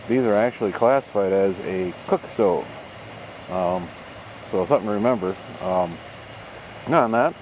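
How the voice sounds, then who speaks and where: An American man giving a rambling, folksy, casual vlog narration, recorded outdoors on a handheld camera. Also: fairly low pitch. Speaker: vlogger. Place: outdoors at a campground. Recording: outdoor camcorder with ambient vehicle noise.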